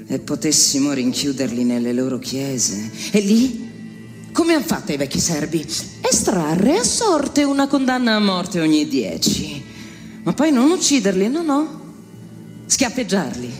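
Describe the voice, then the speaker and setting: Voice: mid-range voice, huge pitch swings, a little slow. A theatrical accuser , as performed by an actor, declaims with outrage and bitter scorn, pressing one rhetorical question after another. The voice rings in a long hall reverb, with music underneath. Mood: bitter, vengeful, then scornful, indignant. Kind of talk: theatrical dramatic monologue.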